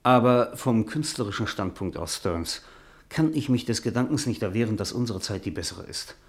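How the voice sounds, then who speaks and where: Weary, proud novelist with a lowish voice. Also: male voice, lively intonation, moderate pace. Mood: nostalgic, reflective. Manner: cultured, world-weary, ironic, hesitant. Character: weary, proud, self-pitying older male novelist, old-fashioned. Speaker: radio drama actor. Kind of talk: German radio play acting.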